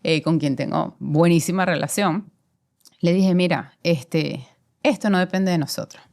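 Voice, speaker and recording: A woman's voice: lowish voice, unhurried, gravelly, darkish tone. A woman in her forties with a Venezuelan accent, a guest telling her career story in a reflective way, with many fillers. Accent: Venezuelan Spanish. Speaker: guest, commercial director. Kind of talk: conversational interview.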